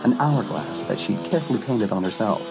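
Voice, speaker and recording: A man narrating a dramatised teen horror story in an expressive, dramatic storytelling manner. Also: fairly low pitch, a little fast, gravelly, over music, low-quality recording. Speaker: audiobook narrator.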